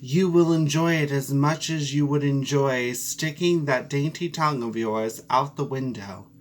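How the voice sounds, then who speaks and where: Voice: medium pitch, unhurried, close to an everyday voice. A performer voicing a sly, greedy, domineering female cat in a storybook, cool, smug and dismissive. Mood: condescending. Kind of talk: storybook character voice.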